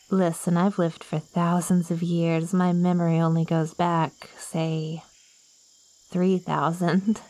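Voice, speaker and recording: Woman acting as unhurried, eager ancient plant girl. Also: fairly low pitch, warm tone, no room sound, natural voice. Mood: wry, musing. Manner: quirky, wordy, theatrical, slightly formal phrasing. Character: ancient, lonely, eager plant girl monster, clingy and curious. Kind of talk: ASMR roleplay, acted monologue to the listener.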